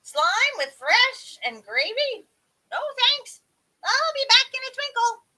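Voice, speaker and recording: A woman voicing a muddled, cheerful, half-deaf old fairy who exclaims loudly in a storybook tale. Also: very high voice, sing-song, slow pace, voice pushed up, full character voice. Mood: oblivious, chirpy. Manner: loud, exclaiming, mishearing.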